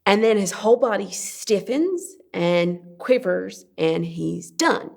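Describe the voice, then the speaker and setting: A young woman playing a weary, protective abused daughter, recounting trauma in a halting, deliberate dramatic monologue. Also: mid-range voice, lively intonation, gravelly, a little mellow, exaggerated voice. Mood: halting, pained. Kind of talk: dramatic stage-style monologue.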